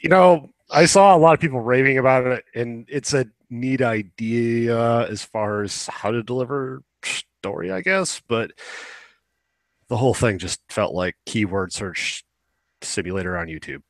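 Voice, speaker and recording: A man's voice: medium pitch, measured pace, no room sound. A young man chatting casually as a podcast co-host, opinionated and rambling, swearing now and then. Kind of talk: casual chat about video games.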